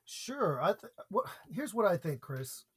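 A man's voice: high-pitched, sing-song, a little slow, breathy edge. Earnest American man coaching on speaking. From United States. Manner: earnest, explains with examples and repeated points. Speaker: podcast guest, public speaking coach. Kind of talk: conversational podcast interview.

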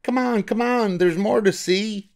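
A man voicing an excitable, eager storybook mouse who exclaims like a playful showman. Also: high-pitched, unhurried, vocal fry, background noise. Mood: eager urging. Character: excitable, eager male cartoon mouse showing off.

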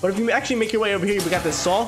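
Young man, congested, high-pitched, rapid slangy gameplay chatter, headset, game music behind. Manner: energetic, slangy, jumps to high-pitched exclamations. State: congested, stuffed-up nose. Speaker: gaming YouTuber. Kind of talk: casual let's play commentary.

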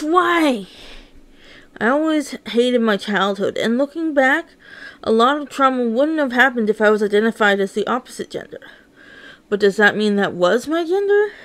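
A woman narrating a first-person story read-along in an emotive, theatrical way. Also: fairly high pitch.

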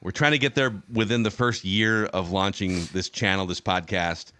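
A man's voice: lowish voice, steady tone, moderate pace, pushed and bright, clear, resonant tone. A man in his forties hosting a podcast in a casual, chatty style. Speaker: podcast host. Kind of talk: casual chat. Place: home room, podcast video call. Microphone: close podcast mic on boom arm.

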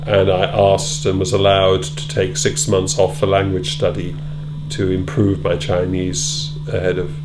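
A middle-aged man being interviewed in a wood-panelled room, answering in a measured, thoughtful way with many ums and repeated words. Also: low-pitched, monotone, music in the background, poor audio. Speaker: interviewee, former British Council officer. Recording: loud hum behind.

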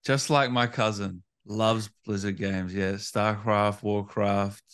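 A man with a low voice interviews a guest in a casual, conversational way. He puts questions informally, often tagging them on the end. The sound is close and dry. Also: fairly even intonation, takes it slowly, fairly bright tone, smooth, dry recording. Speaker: interviewer, podcast host.

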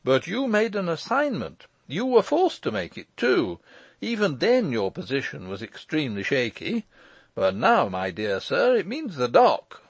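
Man playing a high-pitched, exuberant gentleman lawyer. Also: very animated, moderate pace, dry recording, muffled recording. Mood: scolding, emphatic. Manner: emphatic, eager, breezy. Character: brisk, teasing, exuberant gentleman lawyer nephew.

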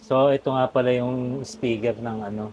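A man giving a casual step-by-step hands-on tutorial, often halting as he searches for words. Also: medium pitch, steady tone, darkish tone, lo-fi sound. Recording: clearly noisy background ambience.

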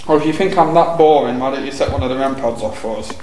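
Thirties guy ghost hunting, calm and coaxing, echoey factory, noisy background. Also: mid-range voice, quick pace, far from the mic. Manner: calm, self-deprecating, coaxing. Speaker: paranormal investigator host. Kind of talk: casual calling out to spirits. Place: dark abandoned factory at night, indoors. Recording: echoey hall with background noise.

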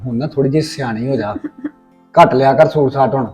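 A man's voice: mid-range voice, brisk, mellow, soft background music, natural voice. A man with a Punjabi accent playing an indulgent older brother who teases and reassures his sister. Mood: teasing. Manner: fond, teasing reassurance. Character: indulgent older brother, fond, mildly exasperated. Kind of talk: comic family skit acting.

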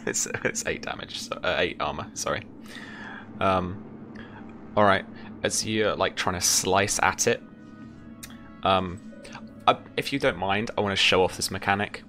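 A young man running a tabletop roleplaying game, narrating and talking through rules casually in a halting, repetitive way. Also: low-pitched, pushed and bright, faint music behind. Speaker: game master. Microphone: headset or desk mic, seated indoors on a video call.

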